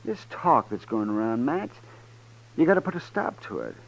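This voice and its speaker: An actor playing a fussy, folksy town doctor in a 1950s radio western, with a grumbling, animated manner. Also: male voice, mid-range voice, moderate pace, vocal fry. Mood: worried, urging. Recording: muffled old radio recording.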